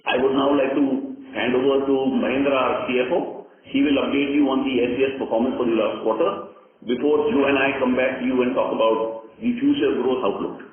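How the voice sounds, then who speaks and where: A man with an Indian accent gives a formal, measured company results presentation as an executive, pausing with hesitations, on a conference call. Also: medium pitch, steady tone. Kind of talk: prepared earnings call presentation. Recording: echoey, tinny conference phone line with noise.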